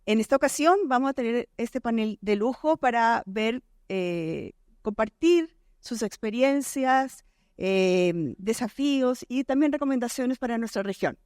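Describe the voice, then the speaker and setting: A middle-aged woman from Colombia with a Colombian accent softened by decades in Chile, moderating a conference panel on stage with frequent pauses and restarts. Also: a little high, expressive, dry recording, studio quality. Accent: Colombian, softened by years in Chile. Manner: hesitant, many fillers and restarts.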